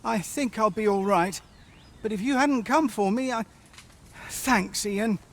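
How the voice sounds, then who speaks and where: A man's voice: high voice, unhurried. A man playing a dutiful young village herb gatherer in a fantasy game, with an earnest, plaintive way of speaking. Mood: shaken, grateful. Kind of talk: scripted fantasy game dialogue.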